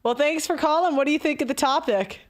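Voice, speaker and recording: A woman's voice: high-pitched, fast. A woman hosting a live call-in stream, talking casually with fillers like "like" and "um". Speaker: host.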